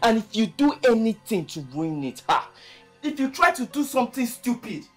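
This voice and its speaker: A woman's voice: on the high side, very animated, moderate pace, faint music behind. A woman playing a bossy scolding young woman. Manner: reproachful, scolding. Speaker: daughter.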